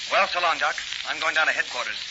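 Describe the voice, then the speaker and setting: An actor playing a confident, earnest young crime-fighting hero in 1940s radio serial style, brisk and decisive. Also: male voice, on the high side, lightly acted. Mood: purposeful. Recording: muffled, noisy vintage radio recording.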